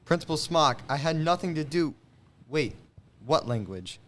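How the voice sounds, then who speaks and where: A teenage young man playing a flustered, nerdy straight-A high school boy in an amateur comedy cold read. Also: lively intonation, moderate pace, a bit bright. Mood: flustered, protesting. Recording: noisy room, off mic, clicks.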